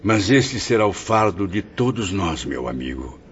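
Very deep, sombre male spirit. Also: big pitch swings, a little slow, slight background noise. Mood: grave consoling. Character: grave, consoling male spirit, narrating his memories. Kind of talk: radio drama acting. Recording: dull lo-fi.

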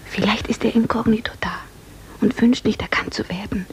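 An actress playing a timid, anxious singer who talks in a breathy, urgent half-whisper, in a 1950s German radio drama. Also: female voice, a little high, steady tone, moderate pace, close to an everyday voice. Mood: anxious, hushed.

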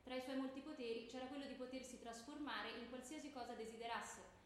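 An actress playing a stern, pedantic schoolteacher who lectures and quizzes pupils in comic radio theatre. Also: female voice, high-pitched, steady tone, quick pace, close to an everyday voice. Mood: pedantic lecturing. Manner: pedantic, curt, reciting lists. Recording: echoey room, clearly noisy.

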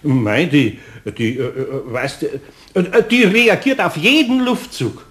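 An actor with a Bavarian accent playing a kindly, patient older master craftsman in a children's radio play, fatherly and gently admonishing. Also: fairly high pitch, measured pace. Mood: friendly.

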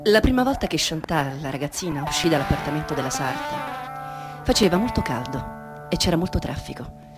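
A woman narrating a literary radio drama with measured, dramatic phrasing. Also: low-pitched, very animated, music in the background.

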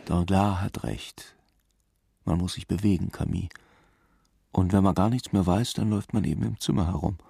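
An actor playing a brooding, taunting inner narrator in a radio drama, speaking intimately and deliberately with a gravelly voice. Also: male voice, sits low, dark tone, dry recording, studio quality, full character voice. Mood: quietly taunting.

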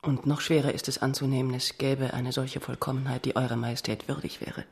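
A woman playing a proud, guarded noblewoman in a radio drama, speaking in a formal, measured way. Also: extremely low pitch, level tone, gravelly.

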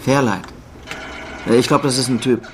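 A man's voice: medium pitch, normal speed, natural voice. A man playing an unsure, laconic computer user in casual radio-play dialogue. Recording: noisy mechanical ambience behind.

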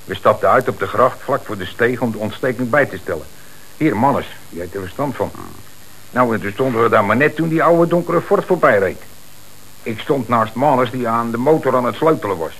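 A man's voice: medium pitch, moderate pace, fairly bright tone, low-quality recording. A man acting a cheeky, evasive petty crook with a Netherlands Dutch accent and hesitant street talk, in a radio play. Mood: explaining. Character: evasive, cheeky small-time crook, one of two brothers. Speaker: actor. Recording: hissy old radio recording.

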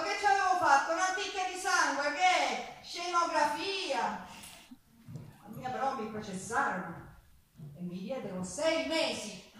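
High-voiced, raw Sicilian wife, echoey stage. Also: female voice, pushed and bright, heavily acted. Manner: raw, emphatic, storytelling with repeated phrases. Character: poor embattled Sicilian wife and mother recounting her hard life. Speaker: stage actress and singer. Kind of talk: dramatic theatre monologue with folk singing. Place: theatre stage, live performance. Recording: echoey stage, distant mic, guitar behind. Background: live acoustic guitar.

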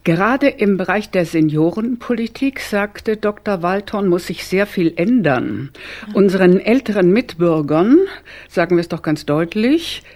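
An actress playing an indignant pensioners' gang member who reads a newspaper aloud in a radio play, mimicking pompous quotes with emphasis. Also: female voice, mid-range voice, animated, unhurried, darkish tone. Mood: pointed, declamatory.

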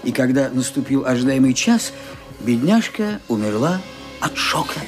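An actor playing a formal, professional family doctor in a detective radio play. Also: male voice, mid-range voice, sing-song, normal speed, close to an everyday voice. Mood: grave, formal. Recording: music behind the voice. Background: music.

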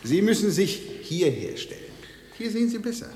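A man's voice: high-pitched, very animated, moderate pace, mellow. A man acting in a radio drama as an evasive, officious slaughterhouse manager who guides a tour with pedantic precision and veiled menace. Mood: coaxing, insistent. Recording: echoey hall with long reverb.

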